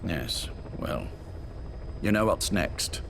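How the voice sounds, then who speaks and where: Soft-spoken old spy boss, measured and courtly, kinda low pitched. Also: male voice, sing-song, vocal fry, background noise, exaggerated voice. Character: calm older male spymaster, genteel and manipulative.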